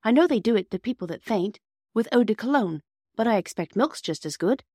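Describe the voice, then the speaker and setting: A woman voicing a caring, practical young girl in a storybook, gentle but decisive. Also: on the high side, animated, a little fast, slightly rough, dry recording, studio quality, pitched above the performer's own voice.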